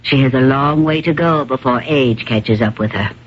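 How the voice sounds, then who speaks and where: A woman playing a proud, worldly older stage actress with a low, husky voice and poised, theatrical delivery, in old-time radio drama. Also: moderate pace. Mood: reflective, confessing. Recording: dull, muffled vintage radio recording.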